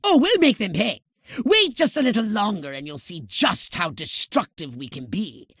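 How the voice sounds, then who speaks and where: Scheming alien commander, high and piercing, wildly animated, pompous, sarcastic, melodramatic, video game villainy. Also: male voice, normal speed, slightly rough, no room sound, studio quality, heavily acted. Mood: gleefully sinister. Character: shrill, bossy, scheming alien commander giving mission orders. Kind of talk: theatrical video game character acting.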